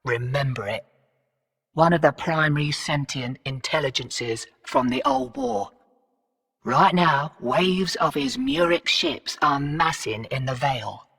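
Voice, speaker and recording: Bright, brash Aussie smuggler, echoing hall. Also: female voice, low-pitched, animated, measured pace, vocal fry, heavily acted. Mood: brisk, businesslike. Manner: slangy, cheeky, streetwise. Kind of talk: video game character briefing and callouts. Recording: echoey hall reverb, distant sound.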